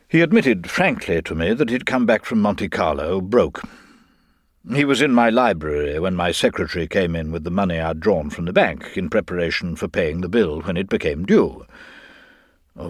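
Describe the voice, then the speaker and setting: A man's voice: low voice, slightly rough, dry recording, clean studio sound. A man voicing an irritable, imperious lord in a dramatised story, formal, clipped and demanding. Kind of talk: dramatised audiobook reading.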